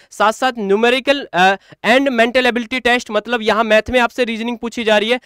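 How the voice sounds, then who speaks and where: A man's voice: very high-pitched, a bit bright, clean tone, no room sound, clean studio sound. A man giving a step-by-step screen tutorial as a coaching presenter, repeating himself as he instructs viewers. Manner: repetitive, instructional, addresses viewers as friends.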